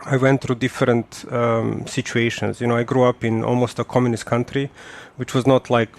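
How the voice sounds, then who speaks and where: A man's voice: mid-range voice, level tone, moderate pace, vocal fry. A man in his forties from Serbia, a podcast guest talking casually and hesitantly, with many fillers and restarts. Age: 40s. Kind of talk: casual conversational interview.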